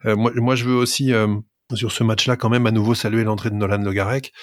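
A man's voice: fairly low pitch, flat delivery, fast talker, dry recording, studio quality. A man co-hosting a sports podcast, talkative and emphatic as he gives his opinions in casual discussion. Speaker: rugby podcast co-host.

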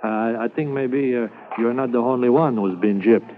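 An actor playing a kindly, hopeful constable who speaks halting English mixed with words, in a 1950s radio drama. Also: male voice, mid-range voice, close to an everyday voice. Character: French-Canadian Mountie constable, kindly, hopeful. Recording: tinny old radio transcription, hiss.

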